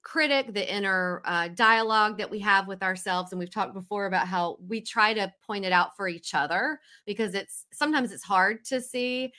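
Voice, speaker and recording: A woman's voice: mid-range voice, normal speed, few pauses, bright, forceful tone. A woman hosting a podcast, chatting casually and in a friendly way with her guest, often saying okay. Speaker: podcast host. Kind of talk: casual interview chat.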